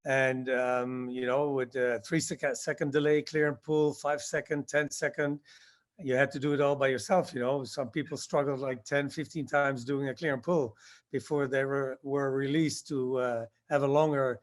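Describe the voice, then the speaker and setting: A man in his sixties, born in Indonesia, answering interview questions about his past and recalling it haltingly with many fillers. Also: mid-range voice, little pitch movement. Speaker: guest, skydiving instructor.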